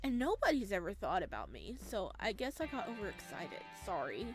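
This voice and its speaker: A woman's voice: medium pitch, wildly swooping pitch, brisk, over music. Woman voicing an awkward, eager young man, hesitant. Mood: sheepish, apologetic. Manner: hesitant, earnest, apologetic. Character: awkward, eager, easily wounded young man, a sweet creepy intruder.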